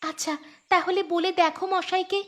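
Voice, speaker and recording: A woman's voice: very high-pitched, fairly even intonation, moderate pace. A woman voicing an earnest, troubled but determined young village girl in a cartoon, speaking plaintively. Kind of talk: cartoon voice acting.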